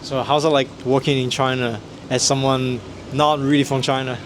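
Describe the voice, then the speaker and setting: A man's voice: medium pitch, level tone, normal speed, noisy background. A man hosting a vlog, asking curious questions and narrating. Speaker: host and interviewer. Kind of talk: vlog interview and voice-over narration. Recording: narration over loud background music.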